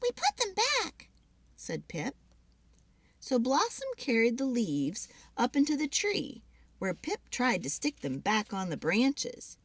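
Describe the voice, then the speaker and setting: A woman giving an expressive, dramatic read-aloud of a children's story. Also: fairly high pitch, slow.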